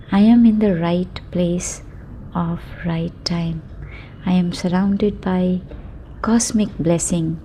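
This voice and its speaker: A woman guiding a sleep meditation in a soothing, unhurried manner, speaking affirmations in short phrases with long pauses. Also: lowish voice, mellow, slight background noise. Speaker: meditation guide.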